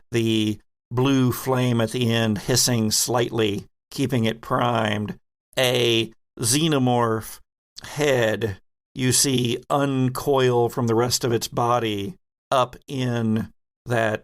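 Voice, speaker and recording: A man acting as game master, narrating a tabletop horror roleplaying game in a halting, deliberate way with vivid detail. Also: medium pitch, smooth.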